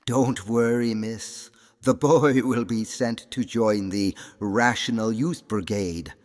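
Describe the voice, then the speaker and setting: A man's voice: fairly low pitch, slow pace, darkish tone. An actor playing a creepy, unctuous old professor, a fawning henchman in an audio drama. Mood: sinister, fawning. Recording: long reverberant hall.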